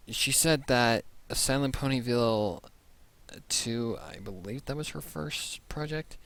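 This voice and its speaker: A man's voice: on the low side, a bit bright. A man hosting a reaction video with casual, rambling, informal commentary. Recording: music playing behind the voice. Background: music.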